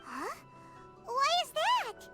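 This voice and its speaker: Very high squeaky little fairy. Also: female voice, huge pitch swings, drawn-out words, heavily acted. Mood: puzzled. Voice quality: squeaky, childlike. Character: small childlike fairy companion, excitable and curious. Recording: music behind the voice.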